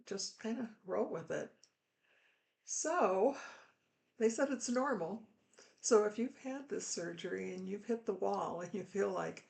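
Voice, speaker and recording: A middle-aged woman pastor, hoarse after throat surgery, giving a candid, chatty and reassuring health update to viewers. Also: mid-range voice, a little mellow. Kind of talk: casual health update vlog.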